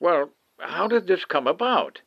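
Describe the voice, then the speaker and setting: A man playing an anxious, disbelieving father in a science fiction radio drama, putting halting questions. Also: high voice, very animated, vocal fry, dull audio, exaggerated voice. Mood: anxious, questioning. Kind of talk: old-time radio science fiction acting.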